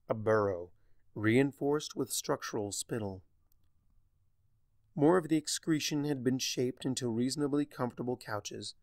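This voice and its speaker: A man narrating written fiction in an expressive storytelling manner. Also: medium pitch, gravelly, dry recording, studio quality.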